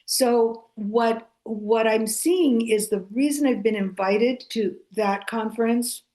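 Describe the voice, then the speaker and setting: A woman whose first language is Greek, a guest author speaking thoughtfully, with pauses and fillers. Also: a little high, mellow, low-quality recording. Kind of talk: casual conversational interview.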